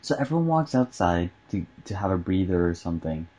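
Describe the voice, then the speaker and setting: A man's voice: low voice, very animated, fairly quick, dark tone. A man co-hosting casual film commentary among friends. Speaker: co-host.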